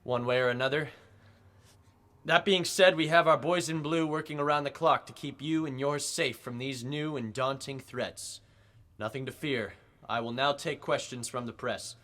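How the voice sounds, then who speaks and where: An actor playing a pompous, testy district attorney making declamatory statements to the press in an old-time radio drama. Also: male voice, mid-range voice, normal speed, a bit bright, natural voice. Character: blustering, evasive male district attorney at a press conference.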